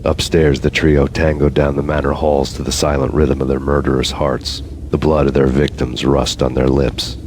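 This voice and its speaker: Noir game detective narrator: brooding, deadpan, rock-bottom pitch, monotone delivery. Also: male voice, normal speed, hardly any pauses, a little hiss or hum. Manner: deadpan, grim, poetic. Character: brooding, world-weary hardboiled cop narrating his vendetta.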